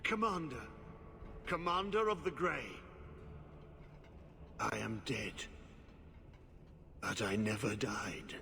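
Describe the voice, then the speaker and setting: Game role: half-mad old ex-commander, extremely slow and halting, cryptic, breathy, haunted, in an echoing cavern-like reverb. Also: male voice, medium pitch, sharp tone, full character voice. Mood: haunted, dazed. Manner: halting, cryptic broken phrases. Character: half-mad, blight-tainted former Grey Warden commander, haunted old man. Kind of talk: video game character acting. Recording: echoing hall with noisy ambience.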